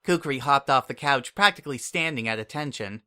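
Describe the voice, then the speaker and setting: A man reading a fantasy adventure aloud, telling it in first person at an easy, conversational pace. His voice sits mid-range with a bright, forward tone. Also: medium pitch, expressive, a little fast. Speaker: story reader. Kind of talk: dramatic audiobook narration.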